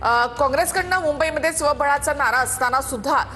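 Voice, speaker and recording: A woman's voice: high-pitched, a little fast, sharp tone, in a large hall, soft background music. A woman anchors live TV news, presenting reports and putting questions to a correspondent.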